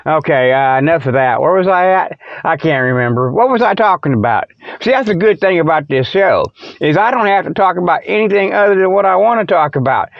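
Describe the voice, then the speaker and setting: Guy with a high voice doing a rambly folksy comedy show, jokey, close dry sound, bit muffled. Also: lively intonation, fairly quick, gravelly, no echo. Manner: joking, folksy, often chuckling at himself; colloquial rural-style grammar such as ain't and them. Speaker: host of a comic show. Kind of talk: rambling off-the-cuff comic monologue.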